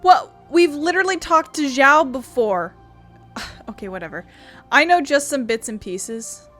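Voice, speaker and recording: A woman's voice: sits high, animated, unhurried, a bit bright. A woman doing casual, rambling video game commentary with playful asides. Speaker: streamer playing a video game. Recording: game music behind voice.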